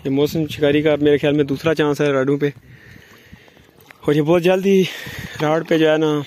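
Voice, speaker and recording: A man with a slightly higher voice talks through the day's catch while standing in a lake. He fires off quick instructions to his friends. Water and wind noise stays clear behind him outdoors. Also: level tone, a little mellow. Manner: calls out quick instructions while landing fish. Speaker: angler. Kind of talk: casual outdoor commentary.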